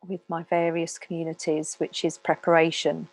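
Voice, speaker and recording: A woman speaking as a meditation teacher, calmly guiding listeners in an unhurried way with long pauses. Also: low-pitched, no room sound, low-quality recording. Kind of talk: guided meditation talk.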